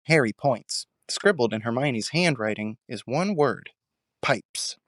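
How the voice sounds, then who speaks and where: A man's voice: medium pitch, expressive, takes it slowly, fairly bright tone, no room sound, studio quality. A man giving scripted narration for an audio drama, describing scenes as a storyteller.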